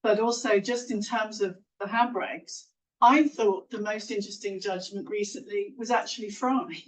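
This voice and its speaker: A woman in the audience asking a long, halting question at a lecture and apologizing as she goes. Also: medium pitch, steady tone. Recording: distant mic.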